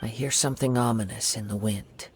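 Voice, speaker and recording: A man voicing a calm young wandering samurai in a video game, speaking in a measured, thoughtful way. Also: fairly low pitch, close to an everyday voice. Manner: measured, thoughtful and soft-spoken. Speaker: investigating hero.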